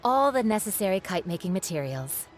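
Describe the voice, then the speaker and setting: A woman's voice: mid-range voice, big pitch swings, fairly quick, close to an everyday voice. A woman playing a friendly market vendor in a video game, welcoming and keen to sell. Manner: welcoming, sales-minded.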